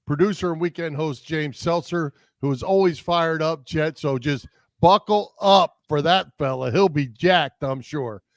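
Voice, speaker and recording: A man's voice: on the high side, measured pace, dry recording. A man co-hosting a casual sports podcast, chatting about local teams.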